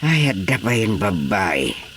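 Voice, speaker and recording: A man's voice: deep voice, very animated, slow, a bit bright, heavily acted. A man playing a soldier in conversation in a radio drama, with faint noise behind him. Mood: earnest, impressed.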